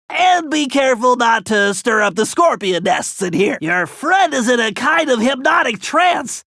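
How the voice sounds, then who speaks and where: A man's voice: very high voice, gravelly, sharp tone, no room sound, clean studio sound, heavily acted. An actor playing a brainy, anxious, nerdy male turtle tech whiz in a video game, with a nasal voice and a precise, pedantic, technical way of talking. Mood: focused, analytical.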